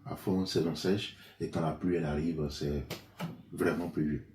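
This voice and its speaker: Low-voiced man from Benin, interview guest, halting answers, faint background hiss. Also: steady tone, a little mellow. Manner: hesitant, many fillers and restarts. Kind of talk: conversational interview. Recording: echoey room, faint noise.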